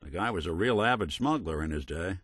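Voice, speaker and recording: A tired noir robot detective for a video game, lowish voice, dry studio sound, wry and understated. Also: male voice, animated, brisk, a bit bright, no room sound. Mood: wry, matter-of-fact. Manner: wry, understated, old-fashioned hard-boiled phrasing. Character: world-weary male robot private detective, wry and loyal. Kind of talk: video game character voice lines, film noir detective acting.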